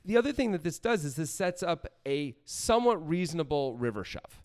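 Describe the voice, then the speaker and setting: A man with a slightly high voice and very varied intonation talks as a poker analyst. He works through his reasoning aloud in a conversational way. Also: sing-song, brisk. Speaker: podcast co-host, poker analyst.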